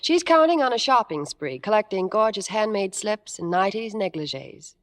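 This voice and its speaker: A woman's voice: medium pitch, expressive, moderate pace. A woman presenting a 1950s radio commercial in scripted, polished, gushing promotional chat.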